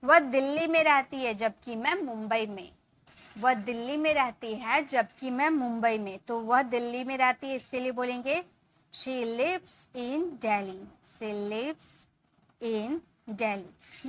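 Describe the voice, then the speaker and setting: A woman in her thirties teaching spoken English, explaining in Hindi and saying the English sentences slowly, word by word, and often checking in with her viewers. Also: sits high, a bit bright. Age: 30s. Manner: addresses viewers as guys, checks in with "ठीक है".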